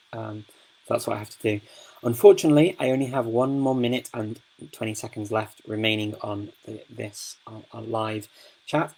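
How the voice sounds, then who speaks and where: Host, male, lowish voice, relaxed live-stream chat, chatty and rambling. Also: low-quality recording. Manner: chatty, warm, lots of fillers and restarts.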